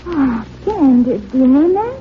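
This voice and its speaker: An actress playing an eager, romantic, practical-minded sweetheart in a 1940s radio comedy. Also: female voice, high-pitched, big pitch swings, measured pace. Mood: dreamy, eager. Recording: muffled, noisy 1940s radio recording.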